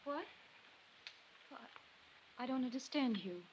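An actress playing a guarded, weary remarried widow in a 1950s radio drama, husky and subdued. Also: female voice, sits high, expressive, takes it slowly, full character voice. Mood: bewildered. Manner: subdued, restrained. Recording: muffled 1950s radio recording.

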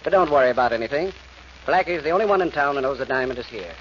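An actor playing a confident, wealthy husband in a 1940s radio drama. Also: male voice, mid-range voice, animated, rapid-fire, fairly bright tone, lightly acted. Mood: reassuring. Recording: muffled, hissy vintage radio recording.

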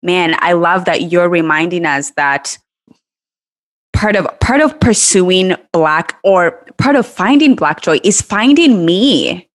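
A woman's voice: lowish voice, expressive, slow pace, no echo. A woman from Kenya co-hosting a podcast, talking in a casual, reflective and affirming way. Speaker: podcast co-host.

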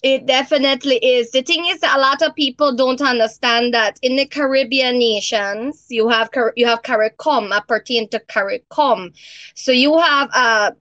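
A woman from Belize with a Belizean Creole accent. She talks at a fairly high pitch in a relaxed, explanatory way and laughs easily. The recording is dry and slightly muffled. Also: moderate pace, no room sound. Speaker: guest. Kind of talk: casual podcast conversation.

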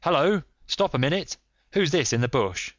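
A man's voice: medium pitch, lively intonation, moderate pace, a bit bright, no echo, dull audio, natural voice. A man voicing a desperate, threatening but gallant stranger in an adventure storybook, exclaiming urgently. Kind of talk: dramatised audiobook character.

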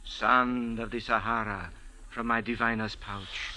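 A man's voice: mid-range voice, steady tone, unhurried, pushed and bright. An actor playing a humble, pleading male sand diviner in a 1940s radio drama. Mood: mystical.

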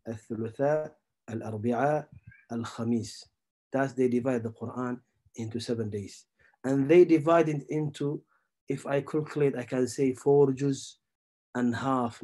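Middle-aged male teacher, mid-pitched, patient step-by-step explainer who quizzes the room. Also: dark tone. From Somalia. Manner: explains step by step, asks the audience questions, repeats terms. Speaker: Islamic teacher. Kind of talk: religious lesson.

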